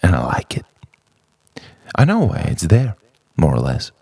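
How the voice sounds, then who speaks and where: A man delivers introspective spoken-word poetry as a monologue, in a dramatic, deliberate way with pauses between phrases. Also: deep voice, wildly swooping pitch, gravelly, a little mellow, slightly rough, dry recording, studio quality. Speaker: poet.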